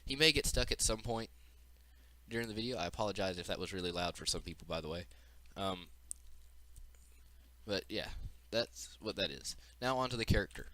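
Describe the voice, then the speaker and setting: A man's voice: low-pitched, a little fast, bright, forceful tone. A man giving an informal, unscripted walkthrough of a game demo as its developer, explaining as he goes, with audible breathing into the mic.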